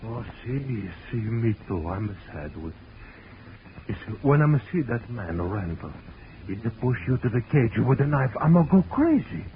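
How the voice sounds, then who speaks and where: A man's voice: mid-range voice, very animated, a little slow. An actor playing an anxious, effusive circus showman with an Italian accent and broken English in a 1940s radio drama. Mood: sorrowful, agitated. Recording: tinny, noisy 1940s radio recording.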